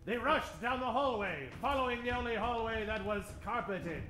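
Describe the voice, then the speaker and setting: A man's voice: very high-pitched, expressive, moderate pace, sharp tone, reverberant, soft background music, poor audio. A man narrating an old-time radio adventure in a theatrical, mock-dramatic manner.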